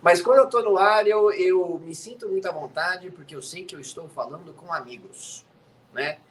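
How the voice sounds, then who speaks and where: Man, grew up in the US, sports commentator, chatty and teasing, slightly high voice, faint hiss behind, video call from home. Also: normal speed, rough recording. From United States. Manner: chatty and teasing, tags lines with "né?". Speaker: NFL commentator, interview guest. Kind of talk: casual chat. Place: home studio.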